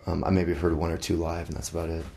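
A middle-aged musician being interviewed, talking casually with many halting restarts. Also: male voice, low voice, level tone, gravelly, mellow, a little hiss or hum.

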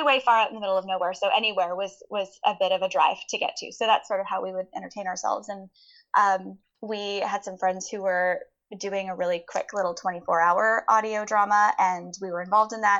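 Female interview guest, medium pitch, conversational and explanatory storytelling, dull low-fidelity recording. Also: a little fast, a bit bright. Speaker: interview guest, audio drama producer.

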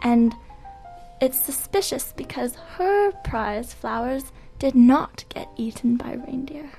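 A woman doing a high, excitable young informant from a comic radio play. She delivers every line with breathless melodrama. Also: slow pace, vocal fry, faint music behind, full character voice, rough recording. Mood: melodramatic. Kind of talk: comic radio noir acting.

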